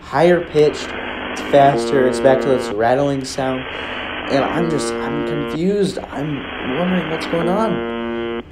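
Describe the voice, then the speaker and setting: A man's voice: mid-range voice, slow pace, over loud music. A young American man rambling casually and hesitantly through an informal commentary. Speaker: video creator. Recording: roomy echo, faint background noise.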